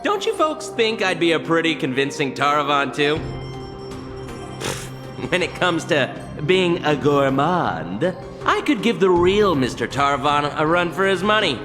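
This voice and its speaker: A woman's voice: low-pitched, big pitch swings, measured pace, big-room sound, over music. A female actor playing a jolly, boastful, gossipy impostor in a video game, in a smug, theatrical manner. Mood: smug, playful.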